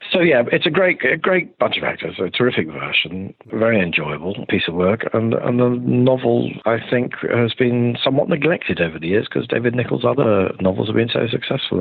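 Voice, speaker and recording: A man's voice: lowish voice, gravelly, husky, no echo. A man talking casually as a director in an interview, emphatic and repeating himself, over a tinny phone-like connection. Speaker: theatre director being interviewed. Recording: dry, tinny phone-like line.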